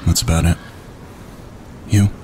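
An actor playing a tired, laconic wildlife photographer in a naturalistic audio drama. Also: male voice, measured pace, warm tone, lightly acted. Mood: weary, matter-of-fact. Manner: laconic, low-key. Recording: noisy outdoor radio link.

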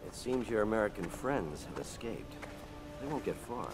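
An actor playing a cold, menacing warlord with a gravelly voice in a video game, coolly threatening. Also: male voice, on the low side, animated, natural voice. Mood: cold menace. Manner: slow-burning, coolly threatening. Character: menacing, cold warlord collecting a debt from a dictator. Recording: loud music under the voice.

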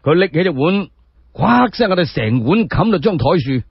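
A man with a Cantonese accent narrates a tale in dramatic, expressive fashion. His voice is raspy and swings widely in pitch. The recording is dry and slightly muffled. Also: normal speed, no echo. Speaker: solo storyteller. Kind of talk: dramatic scripted storytelling narration. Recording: dry, dull lo-fi audio.

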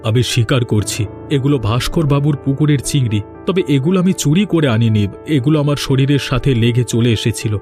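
A man's voice: mid-range voice, a little fast, a little mellow, faint music behind, natural voice. Man acting a proud, emphatic village husband. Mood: defiant. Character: proud, defiant, hard-working village husband. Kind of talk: cartoon voice acting.

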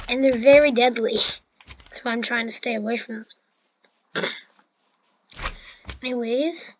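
A woman's voice: a little high, a little hiss or hum, poor audio. A woman giving casual, chatty commentary over her own gameplay, with fillers and restarts. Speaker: gameplay narrator.

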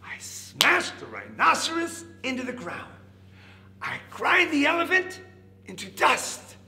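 A man voicing a little caterpillar that poses as a mighty warrior beast, boastful and loudly declaiming for a children's story. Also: very high-pitched, huge pitch swings, measured pace, vocal fry, voice pushed up, heavily acted. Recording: echoey room, faint background noise.

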